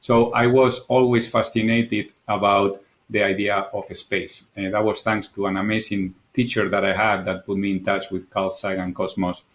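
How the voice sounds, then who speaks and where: A man's voice: on the low side, little pitch movement, normal speed, hardly any pauses. A middle-aged Spanish man with a Spanish accent, speaking as a guest in a casual podcast interview, earnest and thoughtful, sometimes repeating words as he searches for them. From Spain. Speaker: guest, architect and designer.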